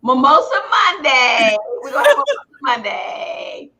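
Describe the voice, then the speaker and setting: Female talk host from Texas, American, bright and very animated, dramatic, chatty delivery. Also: high-pitched, moderate pace, rough recording. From Houston, Texas, United States. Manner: exclamatory, dramatic, acts out quoted remarks. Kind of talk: casual chat.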